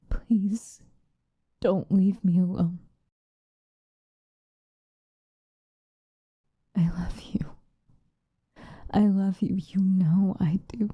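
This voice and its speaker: A woman playing an obsessive, possessive lovesick woman in an intimate, whispered ASMR roleplay, with a breathy voice. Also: medium pitch, no room sound, lo-fi sound. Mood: desperate, pleading. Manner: slow, intimate, pleading.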